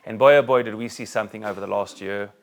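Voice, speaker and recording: A middle-aged South African-born rabbi giving a talk to a group and taking questions, joking and animated, with many restarts. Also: male voice, on the low side, fast talker. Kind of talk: talk with audience Q&A. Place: room with a live audience.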